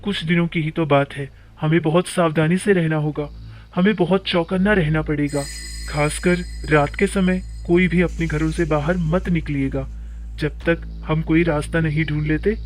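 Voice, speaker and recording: A man's voice: fairly high pitch, fairly even intonation, moderate pace, close to an everyday voice. A man voicing a middle-aged village headman who warns the villagers to be careful. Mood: earnest. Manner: earnest, urging caution.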